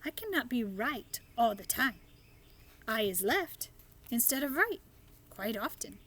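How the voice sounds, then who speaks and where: A woman's voice: a little high, big pitch swings, a little slow, fairly bright tone, exaggerated voice. A woman voicing a big friendly male giant in a storybook, with garbled folksy grammar and made-up words. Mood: earnest.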